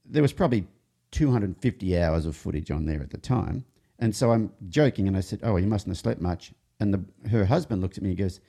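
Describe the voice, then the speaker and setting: A man's voice: lowish voice, warm tone, slightly rough. A man hosting a casual talk, telling rambling horse-training anecdotes and often restarting his sentences. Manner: rambling, self-interrupting, jokey anecdotes. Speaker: horse trainer and show host. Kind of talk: casual conversational storytelling.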